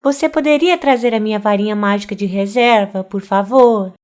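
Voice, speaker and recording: A woman's voice: medium pitch, moderate pace, a little mellow, lightly acted. A woman with a Brazilian accent voicing a cheerful, kind and confident young witch girl in a children's cartoon, in a playful, chirpy manner. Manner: chirpy, playful, chanting spells.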